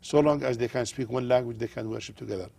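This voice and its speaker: An older man from Jordan, a native Arabic speaker with a Jordanian accent, a pastor speaking earnestly as a guest in an interview, halting with fillers. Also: mid-range voice, gravelly, a little mellow, breathy edge.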